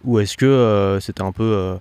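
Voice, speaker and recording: A man hosting a casual conversational interview, explaining investing ideas quickly with frequent fillers and restarts. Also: fairly low pitch, a little mellow, smooth, dry recording. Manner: fast, explanatory, peppered with fillers. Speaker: podcast host.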